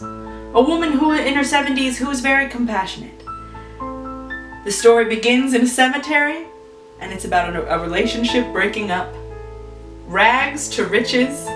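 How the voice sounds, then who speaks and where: A woman's voice: a little high, recorded from a distance. A woman spins an improvised tale in a loose, chatty way, thinking aloud as she goes. She sits some way back from the mic in a roomy, echoey space, with faint music underneath. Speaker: storyteller. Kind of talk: casual improvised storytelling.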